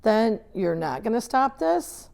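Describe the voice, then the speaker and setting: Storybook schoolmistress, performed by a woman: stern, older, precise and controlled, with gravelly edges. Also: on the high side, very animated, a little mellow, pitched above the performer's own voice, exaggerated voice. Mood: resolute. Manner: measured, precise, formal. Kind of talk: storybook read-aloud character dialogue.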